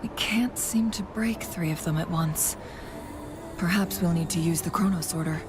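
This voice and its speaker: A woman voicing a musing young companion, low voice. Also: normal speed, background noise, lightly acted. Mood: pondering. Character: thoughtful young woman companion, earnest, curious. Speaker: game companion character. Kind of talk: scripted game dialogue. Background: game music.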